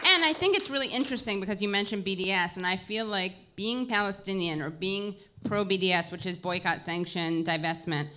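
Female interviewer, American accent, bright mid-range voice, casual and digressive live-show chat. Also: mid-range voice, brisk, hardly any pauses. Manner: informal, digressive, frequent "like". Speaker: podcast host.

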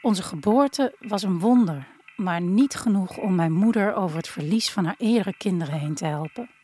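A woman's voice: medium pitch, animated, moderate pace, warm tone, slightly rough. A woman narrating an audio drama in the first person, reflective and intimate in her storytelling. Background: music.

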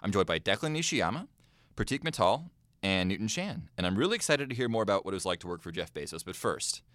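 A man's voice: on the low side, very animated, fairly bright tone. A man hosting a business school podcast, chatting casually and teasing his guests. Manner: playful, teasing, quick interjections. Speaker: podcast host. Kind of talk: casual interview chat.

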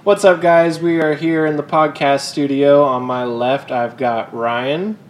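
A man's voice: a little high, fairly even intonation, a little slow. A young man hosting a casual podcast, upbeat and swearing casually. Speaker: podcast host. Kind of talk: casual chat.

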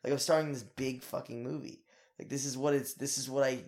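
A young man, an actor, talking in a casual interview in an energetic, rambling way with lots of fillers and swearing. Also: mid-range voice, quick pace.

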